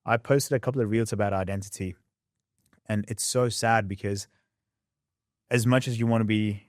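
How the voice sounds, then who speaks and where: A young man with a mixed Australian and English accent, a podcast guest telling his story in a candid, animated way. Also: lowish voice, no room sound, clean studio sound. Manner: animated, quick storyteller who acts out past scenes.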